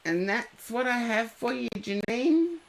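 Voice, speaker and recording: A woman with a head cold, giving tarot readings and gentle advice to viewers on a live stream. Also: a little high, expressive. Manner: slow, unhurried, gently advising viewers by name.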